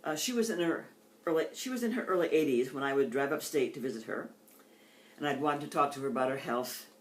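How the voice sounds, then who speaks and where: An older woman poet giving a reading with measured, deliberate phrasing and informal delivery between pieces. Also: rumbling low, animated, slight background noise. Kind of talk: poetry reading with informal introductions. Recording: echoey, roomy sound.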